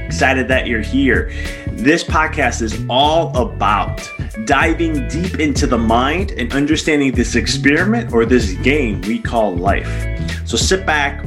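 A man hosting a podcast, earnest and upbeat, with occasional fillers as he introduces and asks questions. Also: mid-range voice. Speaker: podcast host.

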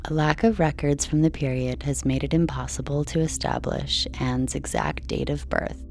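A woman reading a scripted bedtime story in a slow, measured, soothing delivery. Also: low-pitched, fairly even intonation. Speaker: sleep story narrator. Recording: soft music behind.

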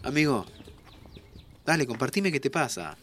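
An actor with an Argentine accent playing a playful, affectionate and curious friend in an audio drama, chatty and excitable. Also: male voice, mid-range voice, normal speed, slight background noise. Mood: gently coaxing. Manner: excitable, chatty, stammering repeats. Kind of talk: naturalistic audio fiction acting. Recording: music under the voice.

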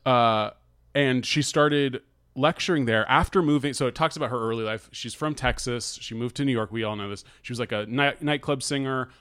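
A man with an American accent talking casually as a podcast co-host, halting and often restarting his sentences as he recounts things. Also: medium pitch, a bit bright, smooth, dry recording, clean studio sound. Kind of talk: casual conversational recounting of an article.

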